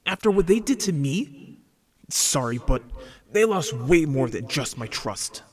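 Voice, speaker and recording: Casting: young male werewolf played by a man, injured, defiant, stubborn. Higher register, expressive range. Audio drama roleplay. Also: a little slow. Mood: defiant, bitter. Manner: sullen, stubborn.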